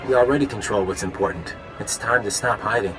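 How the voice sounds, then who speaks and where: A smug villain from a sci-fi game, played by a man, idealistic and menacing at once. He speaks in a fairly low, conversational, persuasive voice with clear music behind him. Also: brisk, natural voice, low-quality recording. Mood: visionary. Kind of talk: dramatic sci-fi game dialogue.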